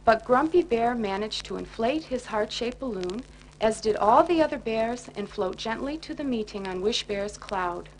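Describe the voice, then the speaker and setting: High-voiced woman telling a bedtime story. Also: moderate pace. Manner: expressive, storytelling. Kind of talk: children's bedtime story narration. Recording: noisy 1980s children's record.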